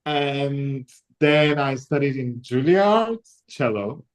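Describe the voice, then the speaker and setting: A man's voice: mid-range voice, animated, takes it slowly. A man from New York, a cellist and composer, chatting eagerly and repeating himself. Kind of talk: casual chat.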